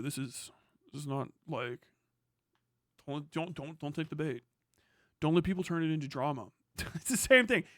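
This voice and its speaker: A man's voice: a little high, sharp tone, dry recording, clean studio sound. A man doing live reaction commentary as a streamer, blunt, outspoken and free with swearing. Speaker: streamer reacting to a news video. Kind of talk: live reaction commentary with read-out passages and rants.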